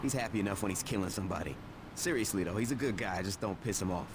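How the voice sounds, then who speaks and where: An actor playing a tough, commanding street gang boss in a video game, blunt and streetwise, using slang. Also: male voice, lowish voice, fast, natural voice. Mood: matter-of-fact. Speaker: lead character of a crime game. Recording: reverberant game audio, faint noise.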